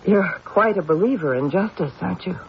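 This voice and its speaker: An actor playing a stubborn, intuitive police detective in an old-time radio mystery, insistent and deliberate. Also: male voice, sits high, expressive, creaky. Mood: politely probing. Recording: dull, hissy old radio recording.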